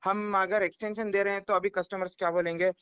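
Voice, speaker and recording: A man's voice: sits high, little pitch movement, fast, a bit bright, clear, resonant tone, no echo. A man with an Indian accent speaking on a recorded phone call as a company representative, explaining and defending himself with emphatic repetition.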